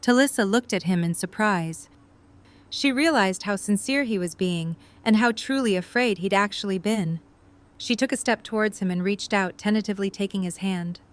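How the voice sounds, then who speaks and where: A woman narrates an audio drama from a script. Her voice sits at a medium pitch as she tells the story. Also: moderate pace.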